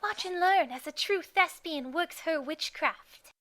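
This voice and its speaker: A woman's voice: very high-pitched, a little slow, heavy background noise. A woman voicing dramatic young female cartoon ponies in a parody, with a theatrical delivery. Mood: melodramatic.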